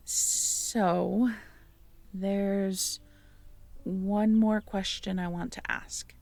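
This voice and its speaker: A young woman in an intimate audio roleplay, talking softly to the listener, hesitant and stammering. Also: medium pitch, fairly even intonation, very slow, creaky, dry recording. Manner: hesitant, stammering, soft-spoken. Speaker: audio drama performer speaking to the listener.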